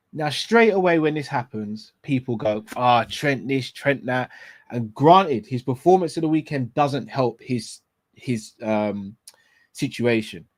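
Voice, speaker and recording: A man hosting a casual live fan show, his voice raspy and a bit hoarse and tired, talking in an opinionated way and picking apart wording with repeated rhetorical questions. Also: mid-range voice. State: hoarse and tired after illness. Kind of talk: casual live football talk.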